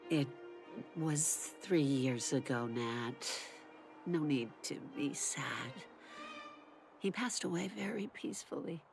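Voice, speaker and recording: Woman acting a low-voiced, tender older mother. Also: slow, music in the background. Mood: tender consoling. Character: gentle, loving elderly mother comforting her grown daughter. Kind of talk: dramatic voice acting.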